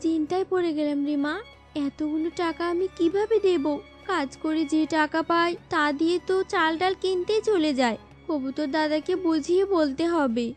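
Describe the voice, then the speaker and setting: A woman's voice: extremely high pitch, moderate pace, soft background music. A woman voicing a poor, tender, worried mother bird in a children's cartoon. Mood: anxious, pleading.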